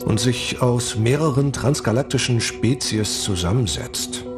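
An actor playing an adventurous, resolute spaceship captain in a science fiction audio drama, casual and confident. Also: male voice, mid-range voice, a little slow, music in the background, lightly acted. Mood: curious.

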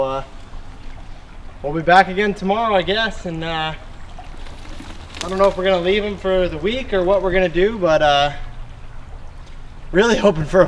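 A young man hosting an outdoor trapping vlog, talking casually to the camera with laid-back slang and folksy exclamations. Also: sits high. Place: outdoors by creeks and marshy fields. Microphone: camera-mounted shotgun mic. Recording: outdoor location sound with faint background noise.

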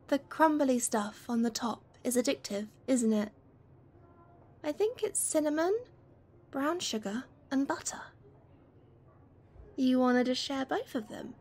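Woman acting a high-voiced, gentle, playful best friend. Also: breathy edge, full character voice. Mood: warm, enthusiastic. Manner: gentle, teasing, flirty. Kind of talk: gentle ASMR roleplay, one-sided conversation.

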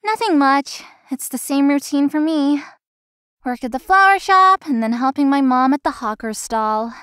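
Actress as high-pitched, dutiful young woman. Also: lively intonation, moderate pace, fairly bright tone, dry recording, studio quality. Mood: playful. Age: young adult. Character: dutiful, gentle young working daughter, playful friend. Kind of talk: visual novel voice acting.

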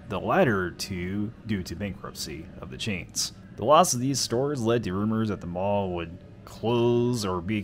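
A man reading a mall's history aloud and making quiet offhand remarks while walking through a large, empty indoor mall. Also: low-pitched. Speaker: mall explorer vlogger.

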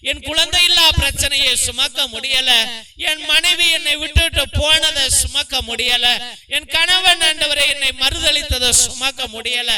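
High, bright pleading male preacher. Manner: wailing, pleading, repetitive lament. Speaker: preacher leading prayer. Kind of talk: impassioned intercessory prayer.

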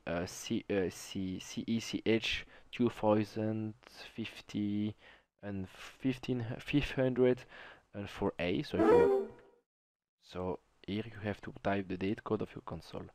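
A young man from Belgium whose first language is French, walking through a console tutorial in halting English with frequent restarts and self-corrections. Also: on the low side, a little mellow, dry recording. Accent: French-speaking Belgian. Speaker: tech tutorial maker. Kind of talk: screen-recorded how-to walkthrough.

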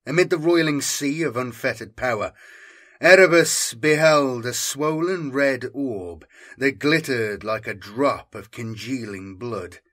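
A man narrating a dark science fiction audiobook in a dramatic, theatrical and ominous manner. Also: medium pitch, unhurried.

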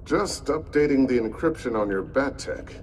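A male actor as a middle-aged inventor and mentor in a cinematic game. He is fatherly and dry, yet his pitch moves expressively. Faint noise sits behind the voice. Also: animated, moderate pace, natural voice. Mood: wry, curious. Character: wry, fatherly older male tech expert and mentor. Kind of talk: cinematic video game dialogue.

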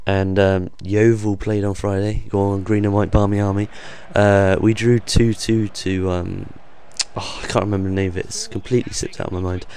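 A young man with a low, croaky voice talks over football game footage, improvising with plenty of ums and uhs. His delivery stays flat and even, with little rise or fall. A faint hiss of background noise sits under the voice. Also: moderate pace. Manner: rambling, hesitant, many ums and uhs. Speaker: gaming channel host. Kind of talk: off-the-cuff voice-over commentary.

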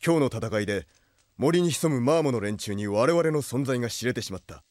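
An actor playing a grave, lordly exiled prince who leads a rebel army, speaking in solemn, measured lines in an anime audio drama. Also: male voice, medium pitch, lively intonation, darkish tone, no echo, natural voice. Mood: grave, resolute.

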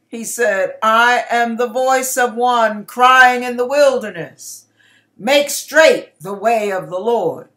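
A woman in her sixties reading scripture aloud in a deliberate, emphatic, expressive style, acting out the dialogue. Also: a little high. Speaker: online ministry host reading scripture aloud. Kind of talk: expressive, dramatic Bible reading in King James English. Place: small curtained room indoors. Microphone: webcam at desk distance.

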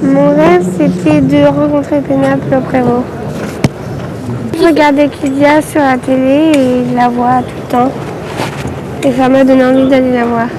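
Shy, slow, high voice, loud arena noise. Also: female voice, flat delivery. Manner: shy, short plain answers. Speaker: interviewee. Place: indoor horse arena.

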